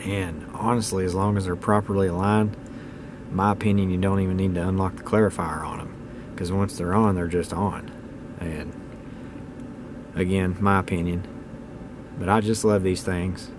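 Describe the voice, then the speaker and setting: A man's voice: sits low, little pitch movement, normal speed, background noise. A man in his thirties giving a casual, unscripted hobbyist video talk in a plainspoken, opinionated, folksy way. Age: 30s. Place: indoors at home.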